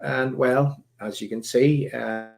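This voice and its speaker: A man with a Northern Irish accent hosting a live stream, talking in a halting, rambling way full of fillers, his voice croaky. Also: mid-range voice, fairly even intonation, a little mellow. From Northern Ireland. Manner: halting, many fillers and restarts. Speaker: livestream host.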